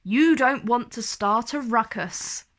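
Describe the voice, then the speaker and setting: A woman's voice: on the high side, slow pace, a bit bright. A woman voicing a kindly fairy schoolteacher in a children's storybook, instructive and theatrical. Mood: cautioning.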